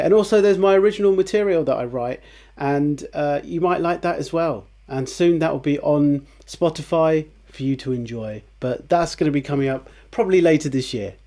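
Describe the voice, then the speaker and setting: A man hosting a casual guitar tutorial, chatty and relaxed, with fillers and restarts, who says his voice is on the low side. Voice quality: lower voice, by his own account. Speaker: guitar teacher, channel host.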